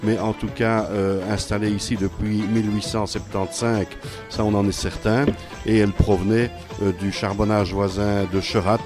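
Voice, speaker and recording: A man's voice: on the low side, little pitch movement, normal speed, over music. A man with a Belgian French accent, a museum director, explaining in an informative, detailed way on a radio show. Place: old mining building.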